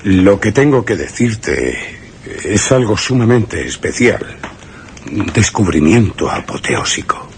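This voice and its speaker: Crazed genius with wildly swinging pitch. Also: male voice, sing-song, takes it slowly, slight background noise, exaggerated voice. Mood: grave, intense. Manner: grandiose, rhetorical, swinging emphasis. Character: crazed genius friend, gaunt and triumphant, lecturing obsessively. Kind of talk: Spanish radio suspense drama acting.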